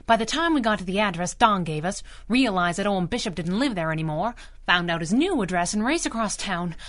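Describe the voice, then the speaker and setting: A woman's voice: medium pitch, expressive, brisk, close to an everyday voice. A woman playing a plucky, loyal young sidekick in a 1930s-style radio serial, earnest and slangy. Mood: anxious.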